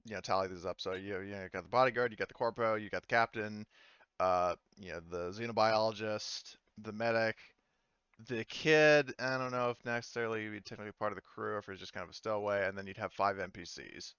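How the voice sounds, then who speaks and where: A man with a low, smooth voice runs a tabletop game, casually talking players through details in a halting way. The sound is dry and slightly dulled. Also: fairly bright tone, no room sound, highs cut off. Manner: hesitant, halting explanations. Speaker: game master.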